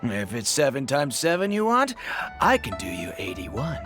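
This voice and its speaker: An actor playing a cheery, sly fish seller in a video game, with showy hawker's patter and music behind. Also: male voice, mid-range voice, wildly swooping pitch, normal speed. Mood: jovial, sly. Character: cheery, sly amateur fishmonger man hawking seafood. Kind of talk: video game character acting.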